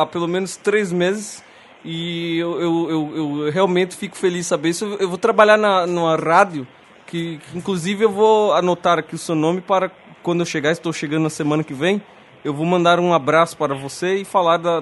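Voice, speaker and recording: A man from Brazil, a radio comedian making a prank call, speaking slowly and hesitantly with exaggerated politeness and many restarts. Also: high-pitched, fairly bright tone.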